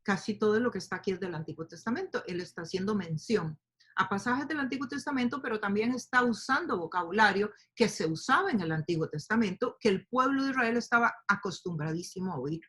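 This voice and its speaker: A woman teaching a Bible study to a group, speaking warmly and explaining with fillers and questions. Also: medium pitch, animated, brisk, slightly rough. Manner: speaks to a group, asks them to open their Bibles, many fillers like "eh" and "este". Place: lives in the United States.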